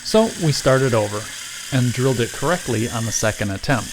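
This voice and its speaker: A man's voice: mid-range voice, fairly quick, heavy background noise. A man giving scripted how-to narration in a wry, mock-grand way. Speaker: host and craftsman.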